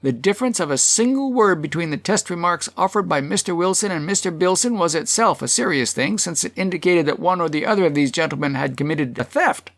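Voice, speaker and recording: A narrator voicing a grave, formal male chairman of a town meeting in an audiobook, speaking in measured declamation. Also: medium pitch, animated, voice pushed up, lightly acted. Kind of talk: acted audiobook dialogue.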